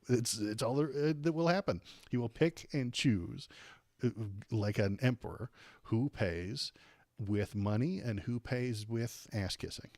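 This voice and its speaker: An American man hosting a casual political livestream, rambling and halting with repeated words, irreverent and sarcastic as he chats with viewers. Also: mid-range voice, darkish tone, no room sound. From United States. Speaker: livestream host. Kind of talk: casual political commentary, chatting with live viewers.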